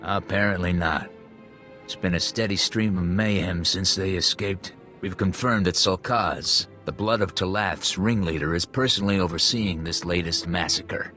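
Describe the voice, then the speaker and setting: Grim low-voiced military officer. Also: male voice, moderate pace, pushed and bright, soft background music. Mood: grim briefing. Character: grave male military officer briefing on a crisis. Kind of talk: video game cutscene acting.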